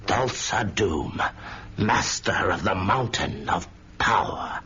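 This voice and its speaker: An actor playing a wizened old wizard who guards burial mounds, speaking solemnly and dropping into whispers. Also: male voice, deep voice, animated, bright, forceful tone, husky, heavily acted. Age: older. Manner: slow solemn chanting, drops to whispers. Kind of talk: radio drama acting. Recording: dull lo-fi audio, faint hiss.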